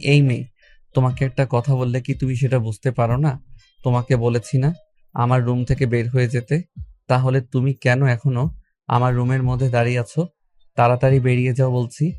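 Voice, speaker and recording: A man's voice: medium pitch, little pitch movement, normal speed, a little mellow, no echo, close to an everyday voice. A man playing an irritated, unwell young man, curt and dismissive, in an audio love story.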